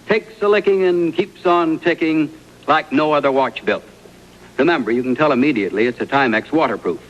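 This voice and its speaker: Dull-sounding vintage TV pitchman, higher male voice. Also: a bit bright. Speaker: watch commercial pitchman. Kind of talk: 1960s TV commercial pitch.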